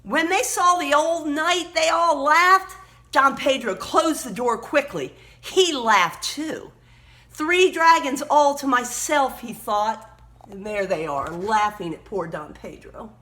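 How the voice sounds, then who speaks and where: A woman reading a children's story aloud expressively, emphatically pausing to point out words and pictures. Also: on the high side, pushed and bright.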